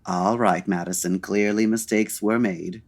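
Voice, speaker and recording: An actor playing a suave, unflappable gentleman detective in an old-time radio parody, speaking in a measured, formal, dryly amused way. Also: low voice, steady tone. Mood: probing.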